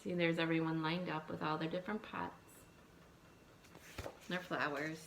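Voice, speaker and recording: A woman teacher reading a picture book aloud to young children, expressive, thinking aloud and putting questions to her listeners. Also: deep voice, moderate pace, vocal fry, heavy background noise. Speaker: elementary school teacher.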